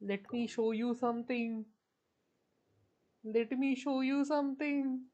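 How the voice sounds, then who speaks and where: A woman's voice: a little high, flat delivery, slow pace, mellow, dull audio, a little hiss or hum. A woman chatting casually on a game livestream, thinking aloud in a hesitant way. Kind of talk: casual livestream chat while gaming.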